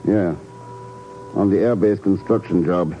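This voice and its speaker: An actor playing a shrewd, unflappable secret agent with a dry, terse manner in a 1950s radio drama. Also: male voice, sits low, measured pace, faint music behind. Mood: terse, grim. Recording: muffled old radio recording, faint noise.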